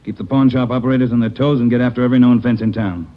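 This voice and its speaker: Gruff male police boss by an actor, vintage radio. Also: medium pitch, fairly even intonation, racing, natural voice. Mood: irritated, demanding. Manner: barking, sarcastic, insistent. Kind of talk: 1940s radio crime drama acting. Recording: dull vintage 1940s radio recording.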